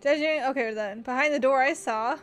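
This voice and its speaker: High-voiced woman reacting to a game. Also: brisk, faint music behind. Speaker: gameplay streamer. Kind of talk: casual reaction commentary over a game.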